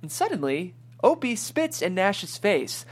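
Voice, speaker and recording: A man's voice: sits high, big pitch swings, a little slow, breathy edge. A man hosting a script reading and narrating stage directions in a descriptive storytelling style.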